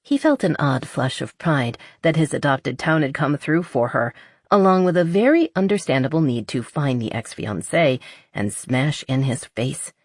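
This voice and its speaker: Low-voiced woman narrating a romance audiobook. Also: animated, normal speed, dry recording, clean studio sound. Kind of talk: scripted romance novel narration.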